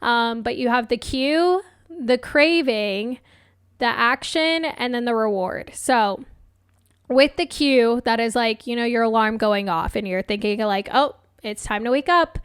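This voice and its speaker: A young adult woman hosting a solo coaching podcast, chatty and upbeat with lots of fillers, her voice a bit hoarse. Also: a little high, unhurried, no room sound, clean studio sound. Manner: chatty, upbeat, laughs often, many fillers. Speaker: podcast host and life coach. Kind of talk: casual solo podcast talk.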